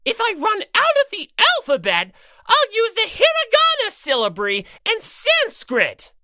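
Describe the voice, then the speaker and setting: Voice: very high voice, wildly swooping pitch, normal speed, pushed and bright, pitched above the performer's own voice, exaggerated voice. An audiobook reader voicing a gleefully cruel, vain, bossy bear mascot villain with mocking, theatrical delivery. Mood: mocking, exasperated. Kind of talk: character voice in fan audiobook.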